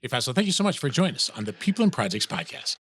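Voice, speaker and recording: Male podcast host, polished and eager, mid pitch, dry studio sound. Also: sing-song, rapid-fire, a bit bright, breathy edge, no room sound. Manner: polished, enthusiastic introductions. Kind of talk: podcast interview and intro.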